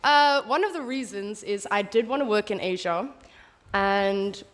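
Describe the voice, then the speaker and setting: A woman's voice: mid-range voice, animated, unhurried, a bit bright, a little hiss or hum. Casual woman from South Africa. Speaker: teacher. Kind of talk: casual interview.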